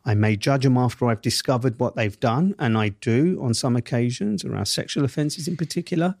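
Measured South East London man, dry sound. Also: mid-range voice, vocal fry, a little mellow, dry recording. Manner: measured and emphatic, with slow deliberate stresses. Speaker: interview guest. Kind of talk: reflective interview answers.

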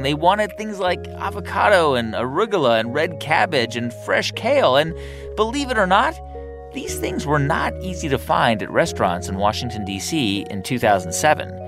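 A man hosting a podcast, narrating a story in an earnest, reflective way with emphatic rises and then interviewing a guest. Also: fairly high pitch. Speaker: podcast host.

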